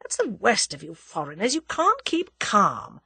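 A woman's voice: medium pitch, wildly swooping pitch, unhurried, gravelly, sharp tone, husky, no echo, studio quality, voice pushed up, full character voice. A woman voicing a cool, cocky young Englishman, scornful and confident as he bluffs his captors in a story. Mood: calm, cocky.

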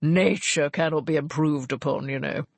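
A woman doing a suave, flattering gentleman. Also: deep voice, moderate pace, gravelly, dry recording. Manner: suave, fulsome compliments. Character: smooth-talking flattering Regency gentleman.

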